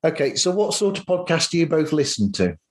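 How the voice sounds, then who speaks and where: A man's voice: fairly high pitch, big pitch swings, fairly quick. A man hosting a podcast in casual chat, rambling along with enthusiasm. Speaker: podcast host.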